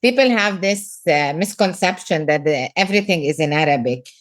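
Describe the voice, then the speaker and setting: A woman's voice: fairly low pitch, expressive, moderate pace. A middle-aged woman from Lebanon with a Lebanese accent, a business coach talking earnestly in a podcast interview and repeating words for emphasis.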